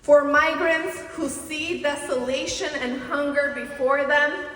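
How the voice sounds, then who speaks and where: A woman from the Dominican Republic giving a commencement keynote, speaking slowly and deliberately with emphatic pauses. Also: sits high, fairly bright tone. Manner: slow, deliberate, rhetorical speech with emphatic pauses. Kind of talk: commencement speech. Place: large auditorium stage. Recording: distant, echoey hall with long reverb.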